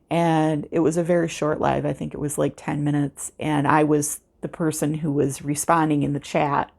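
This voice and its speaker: Low-pitched, creaky American woman. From United States. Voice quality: calming. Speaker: craft vlogger, host. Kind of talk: casual unscripted vlog chat. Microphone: external microphone with a cell phone.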